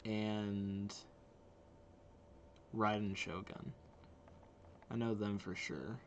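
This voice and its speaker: A man's voice: on the low side, level tone, very slow, slight background noise. A man giving easygoing, chatty reaction commentary as a video creator watching game trailers.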